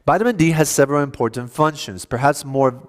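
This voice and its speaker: A man's voice: mid-range voice, a little fast. Man giving a health lecture. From Cuba. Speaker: health seminar speaker at a church. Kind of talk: educational health lecture to an audience.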